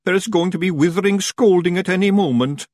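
Wailing, high-pitched little Scottish fairy. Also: male voice, a little fast, darkish tone, no echo, studio quality. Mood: wailing dread. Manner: wailing, moaning. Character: panicky, doom-crying, simple little male fairy warrior. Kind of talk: audiobook character voice.

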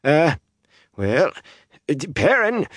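A cantankerous old village councilman as a male narrator plays him in a fantasy audiobook. The voice is scratchy and pitched well up, jumping about in melody, with a gruff, puffed-up bluster. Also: big pitch swings, slow pace, dry recording, full character voice. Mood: hesitant, uneasy.

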